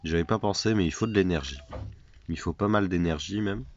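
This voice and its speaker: Brief: man with a cold, deep, chatty let's-play commentary; slightly dull recording with faint hiss. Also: brisk. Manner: chatty, self-mocking, repeats phrases. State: has a cold. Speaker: gaming YouTuber.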